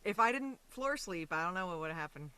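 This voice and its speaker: Bright-voiced woman in casual, giggly chat. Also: fairly low pitch, brisk, clean tone, no echo. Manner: giggly, expressive. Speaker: interview guest, actress.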